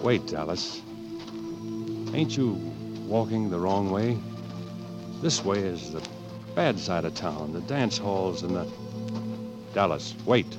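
An American actor playing an earnest, stubborn young cowboy outlaw in a 1940s radio drama, with plain folksy western talk. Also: male voice, deep voice, expressive, slow pace, pauses often. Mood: concerned. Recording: old 1940s radio broadcast with loud music.

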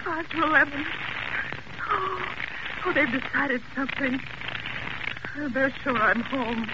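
An actress playing a nervous, demanding bedridden invalid woman in a 1940s radio thriller, indignant and melodramatic. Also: high-pitched. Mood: fearful. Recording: noisy, muffled old radio broadcast recording.

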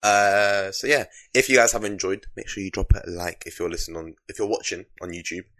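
A young man with a deep voice co-hosting a podcast in casual chat, laid-back and laughing often. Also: fairly even intonation, a little fast, bright, forceful tone. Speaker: podcast co-host.

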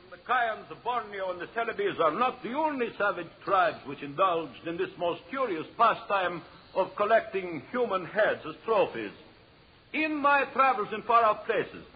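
An actor playing a dry, pedantic explorer giving a stilted formal lecture in a 1930s radio drama. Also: male voice, high voice, husky, full character voice. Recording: muffled 1930s radio recording.

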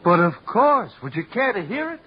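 A nervous, theatrical musician in an old radio crime play. His florid speech leaps up and down with excitable, philosophical flourishes. The sound is dull and muffled, like a vintage broadcast. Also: sits high, moderate pace, heavy background noise. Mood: wry, philosophical. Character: nervous, philosophical male musician, a self-confessed coward. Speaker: radio actor. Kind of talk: 1940s-50s radio drama acting.

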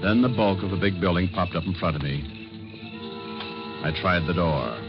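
Dull vintage radio sound with music frames a man acting a suave American private detective. His voice is low, and he speaks in a clipped, wry, deadpan way, in classic radio noir style. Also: moderate pace, close to an everyday voice. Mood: tense, suspenseful. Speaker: actor in a radio detective series. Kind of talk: 1950s radio noir acting, first-person narration and dialogue.

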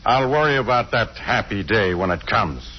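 A man's voice: lowish voice, animated, moderate pace, husky. An actor playing a blunt, sarcastic, exasperated male police inspector in a 1940s radio crime drama. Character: gruff, exasperated, suspicious police inspector man. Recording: muffled old-time radio recording.

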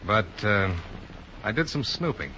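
A man's voice: fairly low pitch, sing-song, measured pace, sharp tone, full character voice. A man playing a blunt, cool-headed insurance investigator in a 1950s radio detective drama, with dry, clipped delivery. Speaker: lead actor. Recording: dull, noisy 1950s radio recording.

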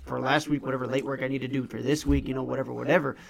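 Young male podcast co-host, early twenties, gravelly, loose and profane. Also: medium pitch, level tone, rapid-fire. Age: young adult. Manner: laid-back, swears a lot, rambling storyteller. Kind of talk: casual chat.

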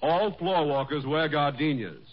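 An actor playing a polite, eager store floorwalker with gushing sales patter in a radio comedy. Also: male voice, a little high, lively intonation, a little slow. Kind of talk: 1940s radio comedy acting. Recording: muffled, slightly noisy old radio broadcast.

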